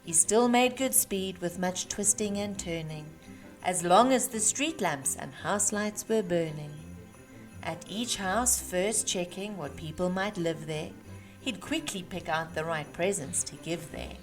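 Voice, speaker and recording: A woman reading a rhyming children's storybook aloud with measured, emphatic phrasing for young listeners. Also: fairly low pitch. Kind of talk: expressive read-aloud of rhyming Christmas storybook. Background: music.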